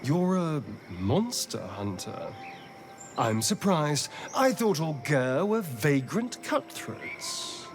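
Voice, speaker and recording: A sly, foppish vampire spawn from a video game. He speaks in a slow, arch drawl, fairly high for a man, and his pitch swoops theatrically. Music plays behind him. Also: big pitch swings, heavily acted. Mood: haughty suspicion.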